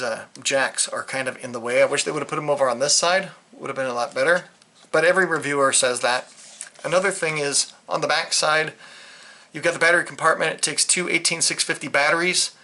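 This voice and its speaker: Male hobbyist reviewer, bright sharp tone, medium pitch, unscripted and hesitant, rambling delivery. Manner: rambling, hesitant, trails off mid-thought. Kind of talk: casual unscripted gadget review.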